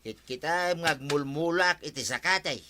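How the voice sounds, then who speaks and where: A man's voice: on the high side, moderate pace, noisy background, natural voice. A man playing a stubborn, defensive cattle farmer in a radio comedy drama, with an Ilocano accent and an argumentative manner. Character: stubborn, defensive village farmer who owns a cow.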